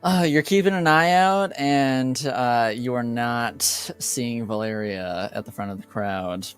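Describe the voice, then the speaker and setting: A man improvising narration as game master in a tabletop roleplaying game, halting and laid-back. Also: medium pitch, clean tone, faint music behind.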